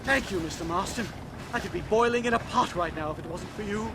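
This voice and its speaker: Man acting a high-pitched, jittery pompous professor. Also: fairly quick, music in the background, close to an everyday voice. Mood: weary, grateful. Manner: stammering, florid, fussy. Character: jittery pompous male Yale professor, flustered and theatrical. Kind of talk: video game western drama acting.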